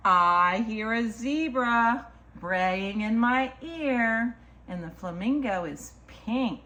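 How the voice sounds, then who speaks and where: A woman's voice: fairly high pitch, animated, sharp tone, lo-fi sound. A woman reading a picture book aloud to young children, with singsong repetition and simple asides to the listeners. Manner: slow, deliberate, singsong repetition; addresses small children directly with simple asides. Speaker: children's story time reader.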